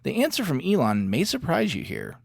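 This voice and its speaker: Animated male podcast host, very dry sound. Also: on the high side, normal speed, creaky, husky, no echo. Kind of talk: scripted podcast narration.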